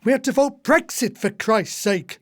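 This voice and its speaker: Actor as exasperated retired husband, ranting. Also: male voice, high-pitched, normal speed. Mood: indignant, exasperated. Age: older. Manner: long-winded, indignant. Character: retired architect husband, disillusioned old-fashioned socialist, ranting and exasperated. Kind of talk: radio play acting.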